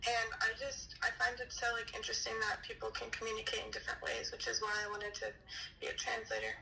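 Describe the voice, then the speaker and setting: A young woman whose first language is German, answering interview questions over the phone in a soft, hesitant way with many "um"s and "like"s. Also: fairly high pitch, flat delivery, fast, creaky, recorded from a distance, faint music behind, low-quality recording. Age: young adult. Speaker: job applicant on a phone call.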